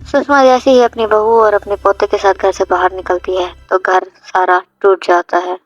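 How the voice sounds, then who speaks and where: A woman's voice: medium pitch, level tone, normal speed, dry recording. A woman reading a scripted story as its narrator, with music playing behind her. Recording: dull lo-fi audio, music behind. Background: background music.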